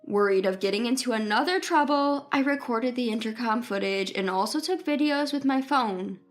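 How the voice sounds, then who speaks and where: Casting: female narrator, high voice, scripted first-person storytelling over faint music. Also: moderate pace.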